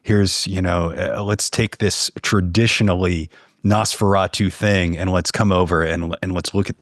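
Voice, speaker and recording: Very low-voiced, reflective man from New Orleans. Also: steady tone, normal speed, no room sound, studio quality. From New Orleans, United States. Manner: hesitant, reflective, thinks aloud. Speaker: podcast guest, horror fiction writer. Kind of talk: casual chat.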